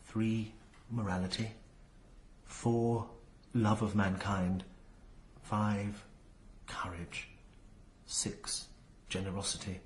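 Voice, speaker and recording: An actor playing an earnest, brooding young nobleman in a radio drama, solemn and halting in manner. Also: lowish voice, level tone, a little hiss or hum. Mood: solemn reading.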